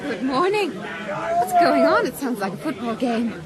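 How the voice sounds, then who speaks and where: A woman's voice: high voice, big pitch swings, measured pace, a loud crowd, heavy background noise. An actress playing a composed, principled lady castaway, speaking politely and earnestly in a radio drama. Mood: curious, shocked.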